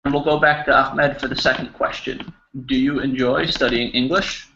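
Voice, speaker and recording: A man's voice: medium pitch, fairly even intonation, normal speed, background noise. A man from New Jersey teaching an online English class, giving friendly explanations to students. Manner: encouraging, explaining. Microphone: video call. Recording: slightly dull video call audio.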